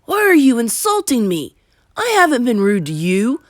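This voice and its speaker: A woman voicing a loudmouthed, greedy young boy turned mouse, blustering and petulant, in a storybook reading. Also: on the high side, huge pitch swings, normal speed, no room sound, studio quality, voice pushed up. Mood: indignant.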